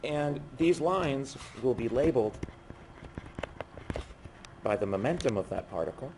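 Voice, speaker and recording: A man giving a physics lecture while losing his voice, speaking haltingly and often breaking off mid-phrase. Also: medium pitch, lively intonation. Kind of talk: university lecture at a board. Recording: noisy classroom recording.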